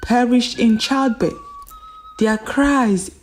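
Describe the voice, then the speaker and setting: A woman narrating a folktale in a dramatic, solemn and deliberate storytelling manner, repeating phrases for emphasis. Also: on the high side, soft background music.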